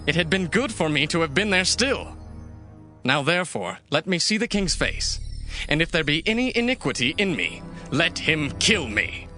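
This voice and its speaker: An actor playing an ambitious, persuasive young prince in a dramatized scripture reading, talking in a smooth, ingratiating way. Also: male voice, fairly high pitch, sing-song, normal speed, sharp tone, faint music behind. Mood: pleading.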